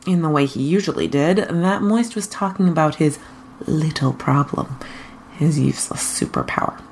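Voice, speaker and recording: A woman reading a scripted story aloud as a fan fiction narrator. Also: fairly low pitch. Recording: faint noise behind the voice.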